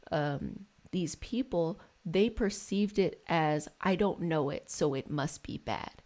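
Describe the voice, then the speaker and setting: American woman with a creaky, low voice. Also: darkish tone. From United States, DMV area around Washington DC. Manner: affectionate, addresses listeners as y'all and babies. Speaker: live stream host and spiritual healing practitioner. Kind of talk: casual livestream chat, explaining and reflective.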